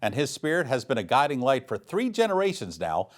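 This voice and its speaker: Man, local news anchor, scripted delivery, medium pitch, very varied intonation. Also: huge pitch swings, fairly quick.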